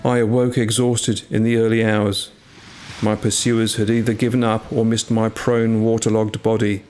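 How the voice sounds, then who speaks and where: Guy playing a humble medieval longbowman telling his war story, solemn and measured, pretty flat low voice, music behind. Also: close to an everyday voice. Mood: bleak, weary. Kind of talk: dramatic scripted first-person narration over music.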